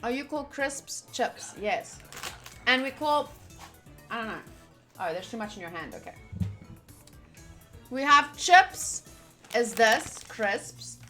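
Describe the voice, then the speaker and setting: A woman's voice: a little high, very animated, slow, long pauses, bright, forceful tone, soft background music. A woman game streamer reading game text aloud and chatting informally with casual asides.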